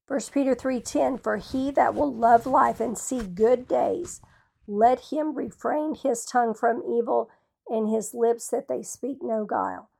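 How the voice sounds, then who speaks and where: A woman with a Southern US accent and a rough, hoarse voice, giving folksy, informal Bible teaching as a radio host. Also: a little high, animated, unhurried, a little mellow, low-quality recording.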